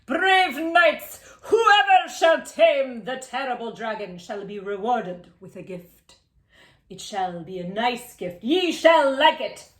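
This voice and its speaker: A woman voicing a pompous male king from a storybook, proclaiming in a grand, drawn-out, declamatory way. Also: a little high, animated, fairly bright tone, echoey room. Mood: pompous, commanding.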